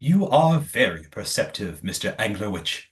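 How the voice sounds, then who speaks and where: A man's voice: on the low side, very animated, normal speed. A man playing a courteous master of a secretive old manor in an audio play, with formal, precise wording. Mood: formal, instructive.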